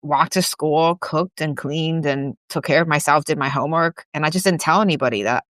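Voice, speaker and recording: A woman's voice: low-pitched, a little fast, a bit bright, dry recording, studio quality. A woman in her forties, from Taiwan, talking candidly and upbeat in an interview, with lots of "like" and small laughs. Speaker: guest, gym CEO. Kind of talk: casual interview chat.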